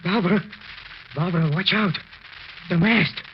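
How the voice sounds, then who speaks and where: An actor playing a frantic, haunted husband in 1940s radio drama style, on a muffled old recording. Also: male voice, high-pitched, measured pace, a little hiss or hum.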